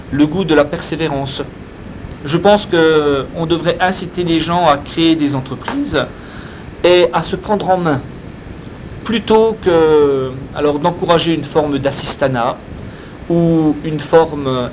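Slow, hesitant man, native Spanish speaker. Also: a little high, rough recording. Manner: slow, deliberate, hesitant with frequent fillers. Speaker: interviewee. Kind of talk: answering interview questions. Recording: steady background noise.

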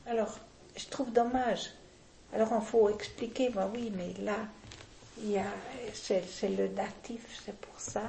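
An older woman, talking deliberately and with firm opinions in a casual interview, often restarting her sentences. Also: medium pitch, fairly even intonation, vocal fry, warm tone, roomy sound, slight background noise, rough recording.

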